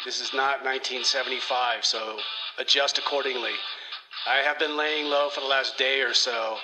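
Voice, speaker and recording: A man playing a casual, chummy, excitable time traveler leaving messages in comedy radio theater. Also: mid-range voice, normal speed, natural voice, low-quality recording. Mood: casual urgency. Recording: music playing behind the voice.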